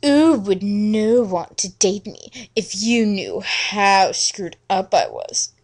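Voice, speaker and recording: A woman's voice: mid-range voice, sing-song, takes it slowly, creaky, a little mellow, voice pushed down, heavily acted. A woman playing a moody, self-absorbed teenage girl who narrates her romance in a mock-earnest fan fiction reading. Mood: scornful.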